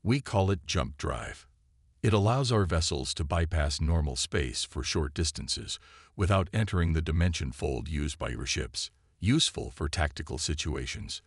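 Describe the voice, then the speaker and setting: Man as a deep-voiced, calm male scientist. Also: normal speed, slightly rough, no room sound, studio quality, heavily acted. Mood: matter-of-fact. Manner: explanatory, matter-of-fact. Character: calm male human scientist, explaining matter-of-factly.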